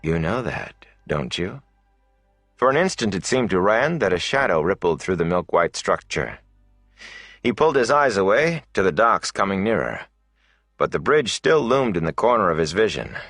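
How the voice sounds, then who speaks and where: A man narrating a fantasy novel as an audiobook, in a measured, dramatic storytelling manner. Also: low voice, big pitch swings, fairly bright tone, no room sound, clean studio sound, faint music behind.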